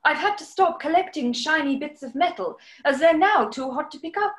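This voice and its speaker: A woman's voice: high-pitched, expressive, moderate pace. A woman playing an eccentric, chatty member of the public in a comic radio interview. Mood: prim, chatty. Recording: roomy echo.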